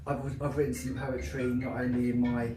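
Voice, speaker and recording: A man's voice: mid-range voice, level tone, moderate pace. Cockney man reciting poetry at a live event. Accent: South London Cockney. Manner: rhythmic, emphatic recitation; loose chatty intro full of fillers. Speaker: poet. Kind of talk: live poetry reading with spoken introduction. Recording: roomy, distant, noisy live venue.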